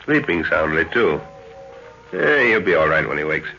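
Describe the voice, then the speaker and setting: A man's voice: extremely low pitch, very animated, moderate pace, creaky, bright, forceful tone, soft background music, full character voice. An actor playing a middle-aged, fatherly professor and explorer, hearty and thoughtful, in an old radio drama. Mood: calm, thoughtful. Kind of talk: 1930s radio acting. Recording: muffled 1930s radio recording.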